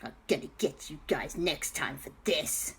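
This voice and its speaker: Lady doing whiny bratty villain kid for a kids story, melodramatic, haughty, voice jumps all over, kinda forceful. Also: low-pitched, expressive, unhurried, husky, heavily acted. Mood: grudging, vengeful. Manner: theatrical, complaining, melodramatic. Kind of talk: children's story character voice.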